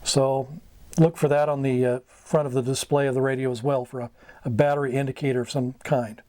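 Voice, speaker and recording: A middle-aged American man giving an informal instructional talk, going item by item in short, halting phrases. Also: mid-range voice, flat delivery, dark tone, dry recording. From United States. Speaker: amateur radio mentor, video host. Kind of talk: informal instructional explanation at a whiteboard. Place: small wood-panelled room indoors.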